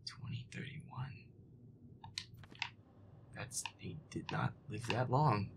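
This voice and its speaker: A feisty young boyfriend played by a man grumbles through a horror game in audio roleplay. He mutters slowly in a half-whisper with a gravelly rasp, swearing and on edge. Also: lowish voice. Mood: irritated muttering. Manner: profane, irritable, jumpy muttering. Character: feisty young male werewolf boyfriend playing a scary game. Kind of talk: improvised-sounding gaming commentary, audio roleplay.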